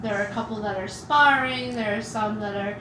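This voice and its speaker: A woman running a tabletop game as game master, giving brisk, matter-of-fact rulings. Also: medium pitch. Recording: echoey room, clear background noise.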